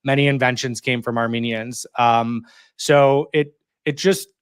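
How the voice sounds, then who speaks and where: A man's voice: medium pitch, fairly even intonation, smooth, no echo, studio quality. A man in his thirties, a guest on an interview show, telling his story in a casual, conversational way and filling his talk with "like" and "you know". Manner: chatty, heavy use of "like" and "you know", quick laughs. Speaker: interview guest, community organizer.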